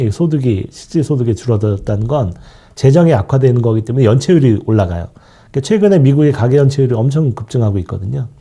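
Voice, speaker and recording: Man, sore throat from a cold, husky and very dark tone, lively up-and-down pitch, explaining like a lecturer. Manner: explanatory, leans on fillers and frequent trailing asides. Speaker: fund manager lecturing on markets. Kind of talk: market outlook lecture.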